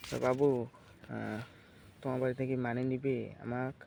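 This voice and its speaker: A man's voice: medium pitch, steady tone, normal speed, vocal fry, a little mellow, slight background noise, close to an everyday voice. A man voicing a coy, clingy young village girlfriend in a comic phone sketch, cooing and complaining in northern Bengali dialect.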